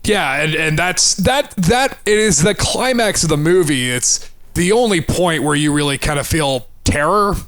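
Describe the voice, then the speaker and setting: A man's voice: fairly high pitch, lively intonation, measured pace, fairly bright tone, no echo. A man co-hosting a podcast, discussing things in a loose, informal way. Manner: informal, loose, occasionally profane. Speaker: podcast co-host. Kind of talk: casual film discussion.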